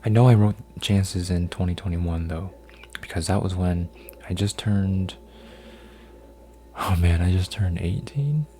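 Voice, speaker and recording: A man in his twenties, an ASMR creator, rambling softly with lots of fillers and restarts while folding paper, sometimes dropping to a whisper. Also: low-pitched, unhurried, a little hiss or hum. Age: 20s. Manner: meandering, full of fillers and restarts. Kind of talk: soft-spoken rambling ASMR.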